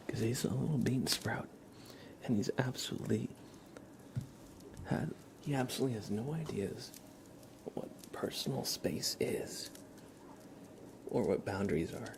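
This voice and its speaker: A man hosting an ASMR livestream, chatting gently and informally in a soft, breathy voice that often slips into a whisper, close to the microphone. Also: medium pitch, animated, a little slow, pauses often, background noise. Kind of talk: soft-spoken ASMR, casual live chat.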